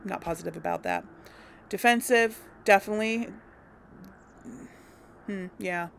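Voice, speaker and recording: A woman hosting a let's play, chatting casually about her game choices and thinking out loud with plenty of ums. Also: medium pitch, moderate pace, creaky, a little hiss or hum. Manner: chatty and unsure, thinking aloud with many ums. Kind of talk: casual gameplay commentary.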